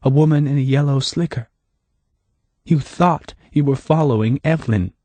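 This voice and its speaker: A man voicing a woman who speaks in a soft, measured, knowing way, calmly accusing, with a slightly husky voice. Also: medium pitch, no echo, pitched above the performer's own voice, lightly acted. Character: calm, pitying woman, quietly accusing.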